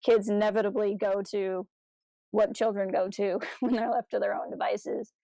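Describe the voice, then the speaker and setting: A woman co-hosting a podcast, talking casually as a fan and telling stories in an animated, slangy, enthusiastic way. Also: medium pitch, normal speed, gravelly, no echo. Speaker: podcast co-host.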